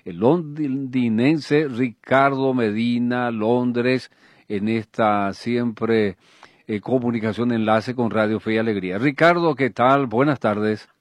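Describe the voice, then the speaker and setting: A male radio host with a slightly husky voice, recorded close and dry. He reads the news and then slips into playful banter with a caller. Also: medium pitch, takes it slowly, no room sound. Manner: playful, bantering, laughs easily. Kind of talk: radio news and casual chat.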